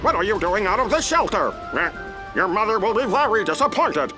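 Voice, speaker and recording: High-voiced earnest alien chief. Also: male voice, very animated, a little fast, over music, poor audio. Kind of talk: video game cutscene acting.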